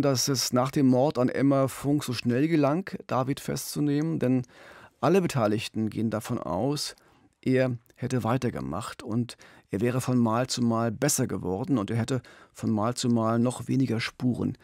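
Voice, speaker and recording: A man's voice: mid-range voice, normal speed, creaky, a little mellow, no echo. A middle-aged man co-hosting a true-crime podcast in a conversational storytelling style, close on a studio mic. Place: small radio studio. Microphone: close studio microphone with pop filter, headphones.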